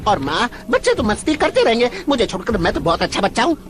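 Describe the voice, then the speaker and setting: A woman's voice: fairly high pitch, very animated, fast. A woman voicing a childlike, playful young dinosaur in a Hindi cartoon dub, eagerly chattering and repeating words. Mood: cheerful, pleading.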